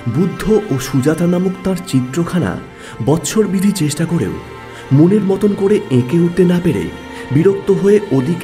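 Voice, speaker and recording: A man narrating a classic literary audio story in a dramatic, scripted style with measured phrasing and pauses. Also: fairly high pitch.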